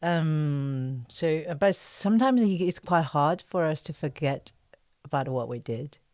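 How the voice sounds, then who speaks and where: Low-pitched, hesitant woman in an interview. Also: expressive, measured pace, darkish tone, no room sound, studio quality. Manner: hesitant, searching for words in short broken phrases. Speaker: guest actress being interviewed. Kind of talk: casual podcast interview chat.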